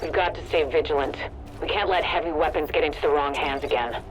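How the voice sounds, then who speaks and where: An actor playing an earnest, resolute military coordinator in a dramatic video game, speaking encouragingly to agents. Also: male voice, on the high side, quick pace, noisy background, lightly acted. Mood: solemn, resolute.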